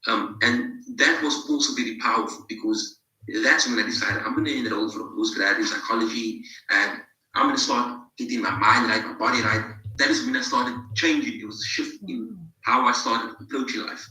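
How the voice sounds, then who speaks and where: A man's voice: mid-range voice, moderate pace, pushed and bright, echoey room, recorded from a distance, rough recording. A man in his thirties with a South African accent, telling his own story as a podcast guest, reflective and restarting his phrases. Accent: South African English. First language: English, bilingual with Afrikaans. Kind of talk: personal storytelling in an interview.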